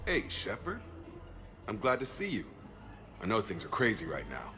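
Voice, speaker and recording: A man's voice: lowish voice, wildly swooping pitch, normal speed, music in the background. A man playing an earnest, hopeful military comrade in a video game, eager and emphatic in short phrases. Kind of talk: video game cutscene dialogue.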